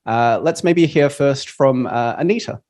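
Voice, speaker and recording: A man's voice: mid-range voice, a little slow, a little mellow. A man hosting an online panel on a video call, upbeat and friendly, with frequent fillers. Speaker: panel moderator.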